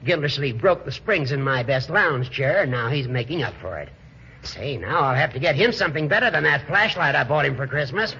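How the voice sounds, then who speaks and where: Bright, excitable fussy judge. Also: male voice, medium pitch, fairly quick, gravelly, slightly rough, full character voice. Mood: eager, nosy. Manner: inquisitive, wheedling, fussy. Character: nosy, excitable judge, an older male friend and rival. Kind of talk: 1940s radio comedy acting. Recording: muffled, hissy 1940s radio broadcast recording.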